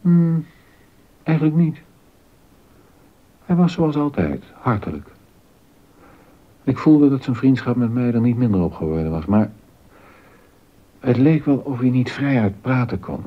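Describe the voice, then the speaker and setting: An actor playing a troubled, disappointed court composer and husband in a scripted historical radio drama, with a husky voice. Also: male voice, mid-range voice, sing-song, measured pace, long pauses, lo-fi sound. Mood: pensive.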